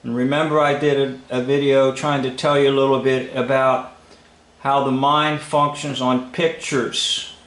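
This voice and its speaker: A man's voice: medium pitch, flat delivery, a little slow, a bit bright. A man giving informal, sceptical commentary on an old film, instructing in short emphatic phrases. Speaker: video commentator. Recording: echoey room.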